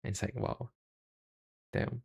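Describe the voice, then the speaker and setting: Slow, halting young Singaporean man. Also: a little mellow. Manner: halting, self-deprecating, deliberately slowed. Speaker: YouTuber and live streamer. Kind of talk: casual livestream chat. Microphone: cheap USB microphone.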